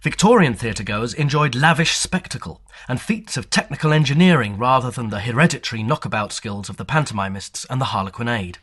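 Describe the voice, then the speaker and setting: A man reading scripted documentary narration in a measured, formal, lecturing manner. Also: medium pitch, lively intonation, fairly bright tone, slightly rough.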